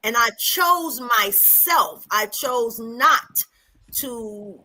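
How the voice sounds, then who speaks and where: A woman's voice: a little high, expressive, very slow, pushed and bright, poor audio. A woman on a casual group talk show, explaining spiritual ideas earnestly and at length.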